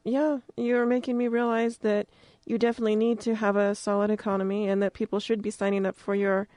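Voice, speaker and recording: A woman's voice: mid-range voice, flat delivery, a little fast, warm tone, no echo, clean studio sound. A woman hosting a casual interview, agreeable and reflective as she asks questions.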